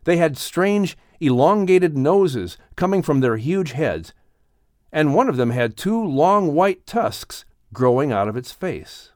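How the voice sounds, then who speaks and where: A man narrating an audiobook story in an expressive, dramatic storytelling manner. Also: on the high side, slow pace, no room sound, clean studio sound.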